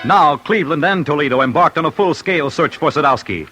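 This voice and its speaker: A man's voice: on the high side, fast talker, bright, forceful tone. A man narrating a scripted 1940s radio crime series in an urgent, punchy, dramatic manner. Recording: old radio broadcast over music.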